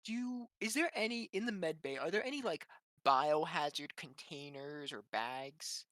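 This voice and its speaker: A player in a tabletop game, chatting casually and thinking out loud. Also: mid-range voice, unhurried, dry recording. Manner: conversational, thinking aloud.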